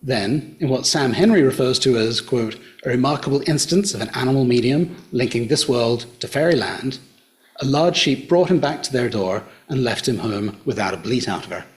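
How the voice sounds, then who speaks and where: A middle-aged Australian man speaks to a gathered audience in a hotel function room. He retells old folk tales in a measured, unhurried storyteller's manner, at a pitch typical for a man. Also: lively intonation. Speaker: local history presenter. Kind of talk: public talk retelling folklore.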